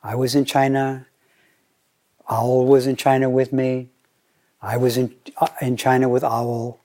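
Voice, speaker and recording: An older American man giving a Zen dharma talk, playful and deliberate, often slowing down and repeating his phrases. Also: mid-range voice, flat delivery, darkish tone. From United States. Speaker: Zen teacher.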